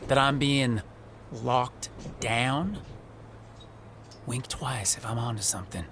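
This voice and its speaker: A man's voice: mid-range voice, takes it slowly, a bit bright. A man playing an anxious, paranoid, sulking young wrestler in a video game, whiny and insistent. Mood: suspicious. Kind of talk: video game cutscene dialogue. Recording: faint background noise.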